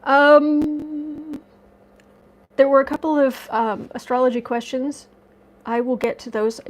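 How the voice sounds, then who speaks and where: A woman hosting a casual livestream, chatty and rambling as she reads viewer comments, her voice slightly raspy from dust allergies. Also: high-pitched, level tone, takes it slowly, low-quality recording. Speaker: tarot and astrology livestream host.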